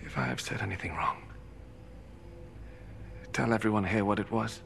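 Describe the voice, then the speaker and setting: An actor playing a calm, resolute holy teacher in a biblical film, speaking firmly and earnestly. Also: male voice, on the low side, normal speed, vocal fry, noisy background. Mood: earnest, challenging. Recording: film scene with music over it.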